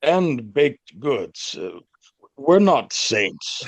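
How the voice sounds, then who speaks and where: An actor playing a patient, kindly priest with dry, deadpan humor in an audio play. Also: male voice, medium pitch, drawn-out words, no echo, full character voice. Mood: dry, gentle.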